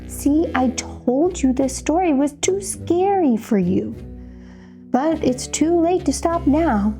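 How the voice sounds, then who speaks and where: Woman playing a high, jittery, slow-paced storybook narrator. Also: lively intonation, dark tone, faint music behind, heavily acted. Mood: startled, doubtful. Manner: theatrical, addresses listener directly with questions. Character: jittery, easily spooked storybook narrator warning the listener. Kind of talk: dramatic children's read-aloud.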